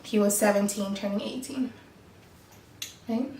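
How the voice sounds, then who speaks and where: A woman's voice: medium pitch, steady tone, measured pace, warm tone, slight background noise. A woman in her early twenties from Mexico, co-hosting a casual Q&A vlog while sick, chatty and giggly. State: sick, voice affected. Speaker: vlog co-host. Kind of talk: casual Q&A chat. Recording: echoey room, far from the mic.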